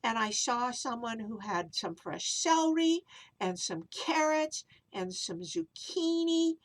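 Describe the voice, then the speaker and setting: A woman's voice: on the high side, very animated, slow. A woman voicing a kindly, cheerful old grandmother in a children's story, reassuring and explaining. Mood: chatty.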